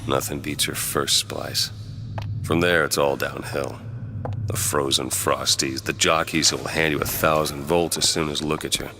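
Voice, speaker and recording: A man playing a gruff, world-weary, middle-aged private detective in a video game, with an American accent and a laconic, slangy delivery. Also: level tone, unhurried. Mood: cynical. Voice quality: low gravelly voice. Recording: game audio with loud noise and music behind.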